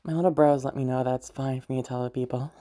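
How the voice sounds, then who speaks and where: A woman acting as a playful, caring party host in an intimate audio roleplay, soft-voiced and hesitant, rambling as she gently reassures the listener. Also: a bass voice, fairly even intonation, fast talker. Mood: warm, comforting.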